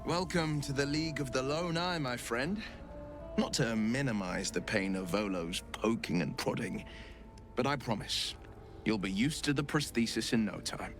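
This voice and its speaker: A man's voice: mid-range voice, lively intonation, normal speed, over music, natural voice. A man playing a gallant, roguish adventurer in a video game, talking with eloquent, theatrical flourish. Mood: jovial, reassuring.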